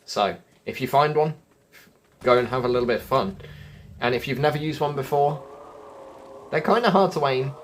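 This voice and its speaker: A man's voice: medium pitch, normal speed, long pauses. Casual male gaming commentator, noisy recording. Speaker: gaming video host.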